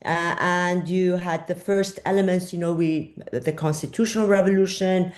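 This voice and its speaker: Low-voiced older woman from Iran. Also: fairly even intonation, normal speed, darkish tone. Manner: thoughtful, searching for words, many fillers. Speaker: journalist guest. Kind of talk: interview answers.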